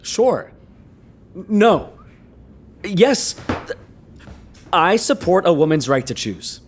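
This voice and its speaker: A man's voice: high-pitched, sing-song, slow pace, slight background noise, heavily acted. A man playing a distressed, indignant lover who feels trapped, incredulous and accusing, in an audio drama. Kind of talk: naturalistic audio drama acting.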